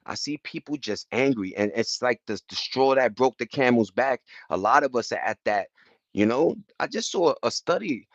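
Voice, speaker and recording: A man's voice: medium pitch, animated, moderate pace, no echo, lo-fi sound. A man with an American accent talking casually on a livestream, passionate and emphatic, rambling in slangy street talk. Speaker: livestream host reading and answering viewer chat.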